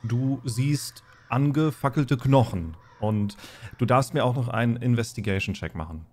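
A man's voice: mid-range voice, normal speed, darkish tone. A man running a tabletop game as game master, describing scenes and instructing players in improvised narration.

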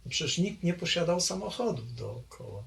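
Gravelly older man reminiscing slowly. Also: fairly high pitch, big pitch swings, a bit bright. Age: 60s. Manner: hesitant, frequent fillers and restarts, reminiscing. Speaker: interviewee recalling childhood. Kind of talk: oral history interview.

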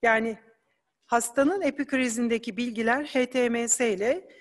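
Woman presenter, slightly high voice, measured explanatory delivery, hall reverb. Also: fairly even intonation. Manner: measured, explanatory, with hesitation fillers. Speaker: conference presenter. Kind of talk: academic slide presentation.

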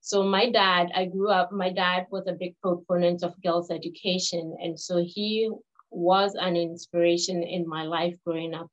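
Ghanaian woman speaking in a flat tone. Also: lowish voice. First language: not English. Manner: measured, with frequent pauses and restarts. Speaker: health researcher presenting. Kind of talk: webinar presentation. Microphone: video call. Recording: dull lo-fi webinar audio.

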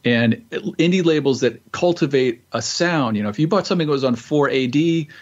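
A man's voice: medium pitch, expressive, moderate pace, darkish tone, muffled recording. A man guest telling stories in a casual interview, easygoing and rambling with lots of restarts. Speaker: guest author and musician.

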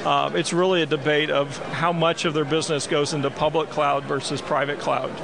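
A man's voice: on the high side, little pitch movement, a little fast, creaky. A man in his forties, a company executive, answering interview questions on a busy trade show floor with many "um" fillers, speaking into a handheld mic. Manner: lots of "um" fillers, gestures while talking. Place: busy expo hall floor. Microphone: handheld mic held by interviewer. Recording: noisy crowded expo hall. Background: crowd chatter.

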